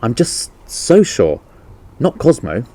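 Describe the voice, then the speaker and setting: Guy doing a worried earnest lady in a kids story, raised pitch, pleading. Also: wildly swooping pitch, slow pace, mellow, no echo, full character voice. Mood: aghast. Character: earnest, distressed woman defending the man she loves. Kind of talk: children's storybook character reading.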